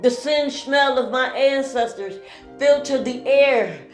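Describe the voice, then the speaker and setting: A woman performing spoken word poetry with a slow, pleading, mournful delivery. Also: sits high, reverberant, faint music behind.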